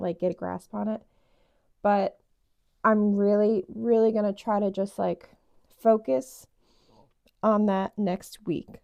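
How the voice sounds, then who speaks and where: A young woman vlogging casually about her budget, her voice raspy since she just woke up, chatty and rambling with fillers. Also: mid-range voice, mellow. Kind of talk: casual chat to camera.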